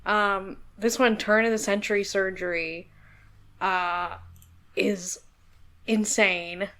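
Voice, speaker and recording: Bright-voiced woman in meandering casual chat. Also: mid-range voice, fairly even intonation, takes it slowly. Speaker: podcast host.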